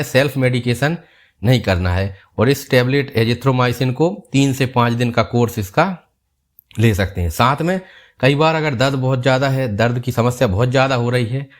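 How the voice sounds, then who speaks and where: Male doctor, mid-pitched, calm step-by-step explainer. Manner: calm, steady explaining, repeats key points. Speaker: doctor explaining health topics. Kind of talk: explanatory health video.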